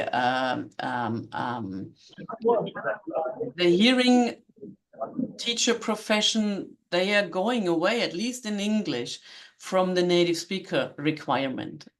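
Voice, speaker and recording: A man's voice: a little high, fairly bright tone. A man speaking in a webinar discussion over a video call, hesitant and thinking aloud. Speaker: webinar participant asking a question.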